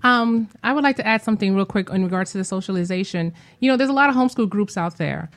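A woman guest talks in a casual radio discussion. Her voice is average in pitch, and her delivery is conversational. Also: quick pace. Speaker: guest, homeschool group organizer.

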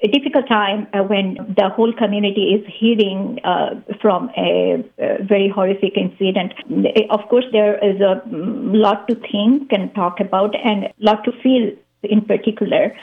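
A woman from Bangladesh, a guest speaking carefully with many hesitations over the phone. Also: medium pitch. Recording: phone line.

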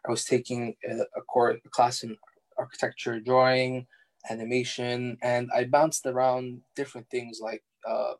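A young man whose first language is Farsi, answering interview questions in a relaxed, halting way with many fillers and restarts. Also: mid-range voice. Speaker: interview guest, architectural designer.

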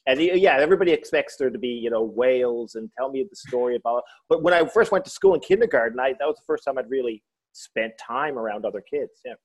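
A man's voice: mid-range voice, animated, quick pace, rough recording. A man, a comedian and author, telling joking stories in a casual interview. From Newfoundland. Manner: joking storyteller, slips into voices.

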